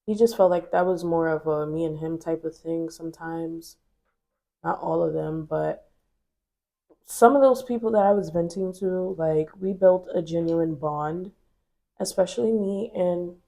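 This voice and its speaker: A woman's voice: lowish voice, vocal fry, warm tone. A woman in her twenties, stuffy from a cold, telling a personal story to her viewers in a chatty, candid way full of fillers. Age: 20s. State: congested from a cold. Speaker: vlogger. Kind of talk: casual storytelling to camera.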